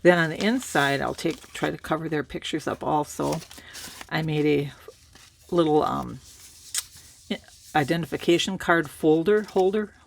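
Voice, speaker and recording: Woman, hoarse from a cold, gravelly low-key show-and-tell, chatty and meandering. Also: a little slow, pauses often, slight background noise. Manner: relaxed, rambling, with many fillers and restarts. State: has a cold, raspy voice. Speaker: crafter showing a handmade journal.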